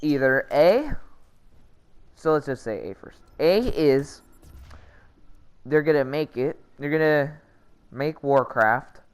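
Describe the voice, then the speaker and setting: A man's voice: fairly high pitch, animated, takes it slowly, long pauses, smooth. A man giving casual, rambling commentary as a gaming vlogger, often hesitating and correcting himself in slangy speech.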